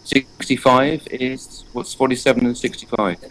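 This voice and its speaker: A man's voice: mid-range voice, steady tone, moderate pace, fairly bright tone, slight background noise, rough recording. A man in his forties from England, talking earnestly in a loose, rambling way with many fillers and restarts during an interview. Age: 40s. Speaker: guest, energy painter. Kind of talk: casual spoken interview.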